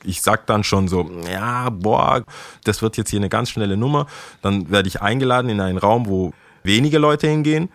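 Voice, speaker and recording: Deep-voiced relaxed young man chatting. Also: normal speed, no echo. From Ghana. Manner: relaxed, chatty, laughs while telling stories. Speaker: guest, skate shop worker. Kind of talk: casual interview storytelling.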